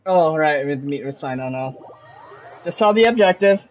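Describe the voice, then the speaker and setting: A man's voice: fairly high pitch, expressive, moderate pace. A man giving casual live commentary as he streams a video game. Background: game music.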